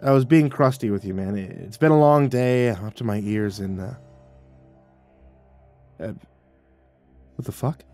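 A man's voice: on the low side, a little slow, pauses often, soft background music. A man voicing an exasperated, sarcastic, foul-mouthed man who is easily flustered, in blunt, slangy audiobook dialogue. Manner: blunt, slangy, excitable, stammering when flustered.

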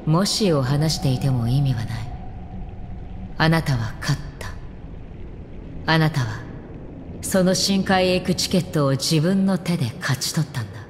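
A woman playing a solemn young swordswoman in an anime game, cool, calm and blunt in measured phrases. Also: low voice, a little hiss or hum. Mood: solemn, quiet. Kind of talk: scripted anime game voice acting. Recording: long reverb under music. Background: background music.

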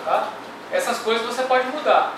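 A man's voice: very high-pitched, normal speed. A middle-aged man teaching a technical workshop, explaining step by step and checking in with "tá?". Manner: explains step by step, ends phrases with "tá?". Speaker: workshop instructor. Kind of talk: technical classroom lecture. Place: classroom with projector screen. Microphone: far camera. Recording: echoey room from far off, noisy.

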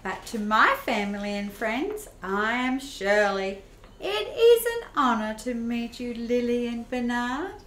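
A woman's voice: a little high, big pitch swings, measured pace, fairly bright tone, slight background noise. A woman playing a party-loving vampire socialite in an amateur radio play. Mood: airy, chatty. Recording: roomy, echoey home recording.

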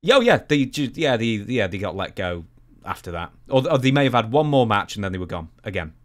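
A man in his twenties with a fairly low voice co-hosts a podcast. He speaks casually into a close studio microphone, moving between chat and reading out questions. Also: very animated, brisk. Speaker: podcast co-host. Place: small indoor home studio. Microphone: close boom-arm studio mic.

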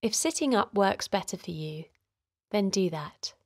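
A woman gently guiding a children's relaxation in a soothing, encouraging way, her voice softly breathy and hushed at times. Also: mid-range voice, lively intonation, unhurried, no echo, clean studio sound. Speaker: host of children's guided relaxation. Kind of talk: guided meditation narration for children.

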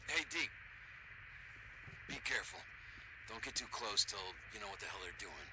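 Actor as an injured American biker buddy, noisy background. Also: male voice, fairly low pitch, animated, moderate pace, natural voice. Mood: alert, wary. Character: injured, loyal biker buddy, rough-mannered male survivor. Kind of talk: video game voice acting.

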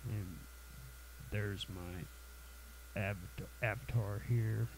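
A man with a cold hosting a casual software tutorial, halting and full of fillers and restarts. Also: lowish voice, fairly even intonation, noisy background. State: has a cold, sniffly. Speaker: tutorial channel host.

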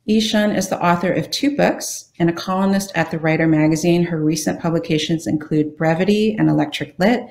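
Woman, low voice, gravelly edge, measured and steady, video call moderator. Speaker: panel moderator. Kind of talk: introducing a panel. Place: home room, indoors.

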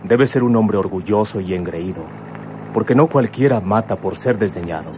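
A man acting a courteous, serious son in a radio drama. His voice is low and measured in tone, with faint traffic-like noise behind it. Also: mellow, close to an everyday voice. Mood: explaining, defensive. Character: sober, courteous adult son of a police commissioner.

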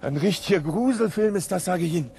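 A man's voice: high-pitched, lively intonation, fast talker, vocal fry, a little mellow, a little hiss or hum. Nervous, stammering, bewildered man. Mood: uneasy. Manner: hesitant, stammering, repeating words. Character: nervous, bewildered companion, unwilling visitor. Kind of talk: German radio drama acting.

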